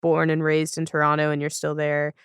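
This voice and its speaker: A podcast host chats informally, feeling a way through questions in a playful, conversational manner. The voice is smooth and clear, at a middle pitch. It is recorded dry, with almost no room echo. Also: completely flat delivery, fairly quick, fairly bright tone. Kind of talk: casual conversational interviewing.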